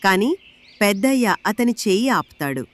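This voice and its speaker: Lively female storyteller. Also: mid-range voice, normal speed, slight background noise. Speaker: narrator. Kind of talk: scripted moral story narration.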